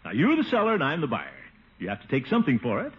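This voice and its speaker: An actor playing a pompous, blustering, scheming middle-aged American uncle in a 1950s radio comedy, exclaiming and wheedling. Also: male voice, mid-range voice, huge pitch swings, racing, breathy edge. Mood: wheedling, eager. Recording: tinny, noisy 1950 radio recording.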